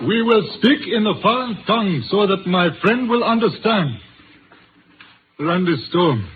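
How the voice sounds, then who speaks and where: Dignified Chinese father, 1950s radio play. Measured, formal, higher register, tinny recording with faint noise. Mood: ceremonial. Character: proud, solemn Chinese father and community elder, bound by honor. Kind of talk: 1950s radio drama acting.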